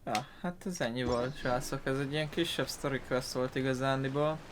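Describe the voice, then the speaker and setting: A man's voice: medium pitch, steady tone, fairly quick, noisy background. A man hosting a gaming video, giving casual commentary in an informal, rambling way.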